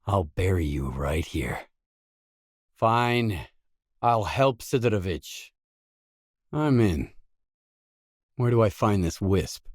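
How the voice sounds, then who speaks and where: A hard-bitten mercenary loner from a video game, a man with a low, deep voice. He is guarded and businesslike, talking in short, matter-of-fact lines. The sound is dry and close, with no room around it. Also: darkish tone, no room sound. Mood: stern, threatening, then terse, composed. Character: gruff, pragmatic male stalker mercenary, guarded and businesslike. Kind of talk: video game dialogue acting.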